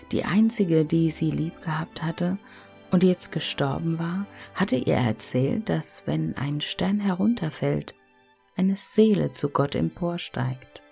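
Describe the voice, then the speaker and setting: Slow, low-voiced woman reading a fairy tale. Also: gravelly, a little mellow.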